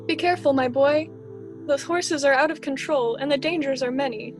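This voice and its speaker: A woman's voice: high-pitched, moderate pace, natural voice. A woman playing a fretful, exasperated sun-god father in an amateur student radio drama. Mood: anxious scolding. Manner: exasperated, theatrical parental fussing. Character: fretful sun-god father scolding his teenage son. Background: music.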